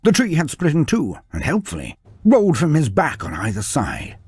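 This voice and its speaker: A man's voice: fairly high pitch, wildly swooping pitch, normal speed, darkish tone, slightly rough, no room sound, clean studio sound. A man reading a fantasy story aloud with a dramatic delivery, his voice rising and falling through the telling. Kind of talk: scripted fantasy story narration.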